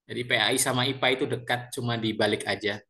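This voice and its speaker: Chatty Indonesian lecturer with ngapak accent. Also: male voice, mid-range voice, fairly even intonation, quick pace, pushed and bright. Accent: Banyumasan (ngapak) Javanese. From Central Java, Indonesia. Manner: chatty, with frequent fillers and repeated words; lecturer addressing students politely. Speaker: university lecturer. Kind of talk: introducing himself to an online class.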